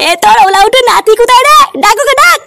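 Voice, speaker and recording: A performer voicing a squeaky, quarrelsome, foul-mouthed village character in a comedy cartoon. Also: fast talker, vocal fry, pushed and bright, heavily acted. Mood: angry scolding. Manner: scolding, crude, slangy. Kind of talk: exaggerated cartoon comedy dialogue. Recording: music under the voice.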